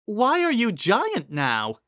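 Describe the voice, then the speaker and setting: A man voicing a bright, inquisitive female earth pony who explains things. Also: high voice, huge pitch swings, slow pace, no room sound, clean studio sound, voice pushed up, exaggerated voice. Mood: puzzled.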